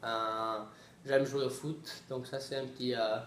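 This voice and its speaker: A sixteen-year-old student from Sweden introducing himself in a relaxed, hesitant way. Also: male voice, mid-range voice, measured pace, far from the mic, a little hiss or hum. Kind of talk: casual self-introduction. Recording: roomy, off-mic.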